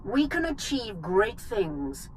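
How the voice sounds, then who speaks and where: An actress playing a haughty, regal empress in a video game, with formal and persuasive speech. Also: female voice, medium pitch, big pitch swings, slow, slight background noise, rough recording. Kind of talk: video game cutscene acting.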